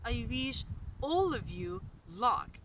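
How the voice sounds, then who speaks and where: Persian-accented woman, teacher, slow lively lesson outdoors, some distance from the camera, faint wind. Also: a little high. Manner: unhurried, with hesitant fillers. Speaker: language teacher. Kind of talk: casual spoken lesson to camera. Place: outdoors on a mountain hillside. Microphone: camera from a short distance.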